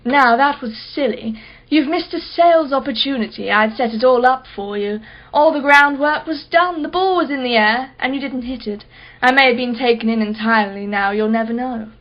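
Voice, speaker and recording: A high female voice, an actress playing a moneyed, skeptical customer in a radio drama. She is theatrical and sarcastic, relishing long mocking phrases. The recording is a little dull and lo-fi. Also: moderate pace, lightly acted. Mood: haughty, teasing. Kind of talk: radio play acting.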